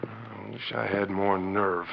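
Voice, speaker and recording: A man's voice: lowish voice, little pitch movement, slow, creaky, full character voice. A man acting as a spiteful, scheming young rancher's son in a 1940s radio western, with folksy cowboy phrasing. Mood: slyly confiding. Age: young adult. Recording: muffled, noisy old 1940s radio recording.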